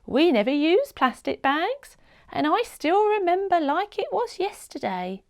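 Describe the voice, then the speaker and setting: Woman as a high, croaky friendly old lady. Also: sing-song, a little slow, full character voice. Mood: nostalgic.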